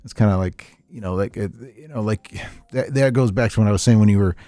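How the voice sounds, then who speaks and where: A middle-aged man chatting casually on a podcast, telling stories in a rambling, animated way with repeats and chuckles. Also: on the low side, fast, dry recording. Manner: animated storyteller, chuckles often, repeats himself. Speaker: podcast host, veteran tabletop gamer.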